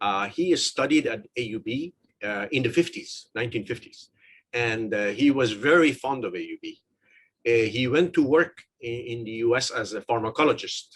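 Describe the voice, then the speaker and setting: A man's voice: medium pitch, lively intonation, fast, fairly bright tone. A man originally from Iran, speaking in an interview with frequent fillers and restarts. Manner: hesitant, many fillers and restarts. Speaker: interviewee, business professor. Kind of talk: casual interview talk.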